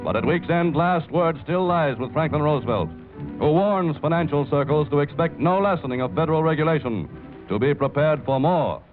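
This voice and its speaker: Booming old-time radio news guy, punchy and dramatic, thin phone-like sound, music playing behind. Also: fairly quick. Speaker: newsreel announcer. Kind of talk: dramatic 1930s radio newsreel narration. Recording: tinny old 1930s radio broadcast with music.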